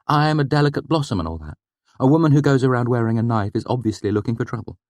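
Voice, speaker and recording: A man's voice: medium pitch, lively intonation, normal speed, no echo, voice pushed up, close to an everyday voice. A man voicing a sly, practical young woman in an audiobook, with a wry, teasing manner. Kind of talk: audiobook character voice.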